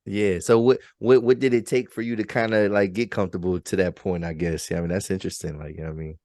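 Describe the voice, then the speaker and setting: A man in his thirties with a somewhat low voice, recorded fairly dry. He hosts in a loose, conversational style. His speech is slangy and affirming, full of little check-ins with the person he is talking to. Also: fairly even intonation, quick pace, darkish tone, no room sound. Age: 30s. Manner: slangy, affirming, repeats "you know what I mean". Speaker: podcast host. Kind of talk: casual interview chat.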